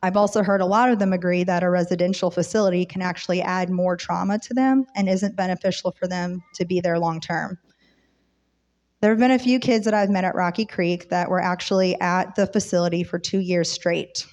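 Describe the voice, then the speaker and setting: A woman volunteer sharing a prepared, earnest testimony with a church congregation, her voice a little rough from being under the weather. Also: fairly low pitch, steady tone, a little fast, a little mellow. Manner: sincere, earnest. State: slightly rough voice from oncoming illness. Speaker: church member and volunteer speaker.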